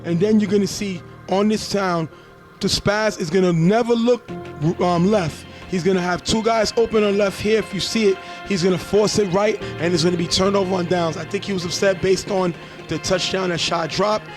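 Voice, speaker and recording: A man hosting a casual sports talk show, a bit hoarse as he loses his voice, breaking down plays in a chatty way. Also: high-pitched, normal speed, faint music behind. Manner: relaxed, chatty play-by-play breakdown. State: losing his voice. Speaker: show host, sports commentator.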